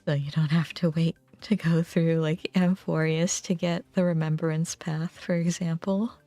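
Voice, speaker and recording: Low-voiced, slow-paced woman streaming. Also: fairly even intonation, dark tone, no room sound. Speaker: game livestreamer. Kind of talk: casual chat while playing a game. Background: game music.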